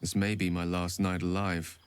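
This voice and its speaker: A man's voice: sits low, flat delivery, normal speed, smooth, natural voice. An actor playing an eloquent, learned male wizard in a video game, speaking in articulate, tender, formal phrasing. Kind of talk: game voice acting. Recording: game audio with soft music behind.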